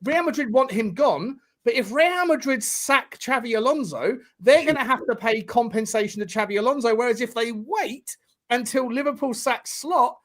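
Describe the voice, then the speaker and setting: Bloke on a football pod, high voice, keeps saying mate and right, goes on and on. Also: male voice, normal speed. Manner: animated, explains at length, says "mate" and "right". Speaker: podcast co-host. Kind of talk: casual football chat.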